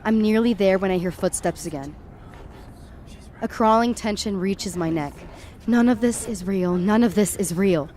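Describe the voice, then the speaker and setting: A woman's voice: medium pitch, normal speed, mellow, slight background noise, lightly acted. A woman playing a frightened, tripping young woman who narrates a supernatural night in the first person, tense and dramatic, in an audio horror drama. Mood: tense dread.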